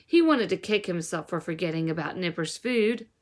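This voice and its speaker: A woman reading a children's novel aloud as a teacher would, with an expressive, instructive delivery. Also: lowish voice, normal speed. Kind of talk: storybook read-aloud narration.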